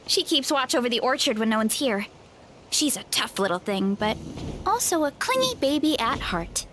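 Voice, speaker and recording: A woman's voice: sits high, sing-song, moderate pace, noisy background. A cheerful hungry young woman. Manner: bright and friendly, laughs easily. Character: cheerful young woman, big appetite, warm and upbeat. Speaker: game character showing guests an orchard. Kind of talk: video game voice acting. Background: soft game music.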